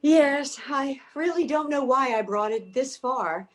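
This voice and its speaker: Woman acting a bossy, high-voiced older lady. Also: animated, unhurried. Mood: resigned, softer. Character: bossy, practical, impatient older woman, exasperated yet caring. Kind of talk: comedic readers theater acting.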